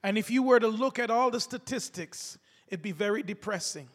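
A man preaching a sermon to his congregation, his voice gravelly from a cold, fervent and calling out to the listeners. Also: sits high, fairly quick. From United States. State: has a cold. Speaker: pastor. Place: church sanctuary. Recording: echoey hall reverb.

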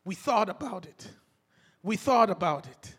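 Pastor guy with a cold, gravelly and kinda high, preaching in a big echoey church. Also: expressive, a little slow, fairly bright tone. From United States. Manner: fervent, calls out to the congregation. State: has a cold. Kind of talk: sermon. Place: church sanctuary. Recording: echoey hall reverb.

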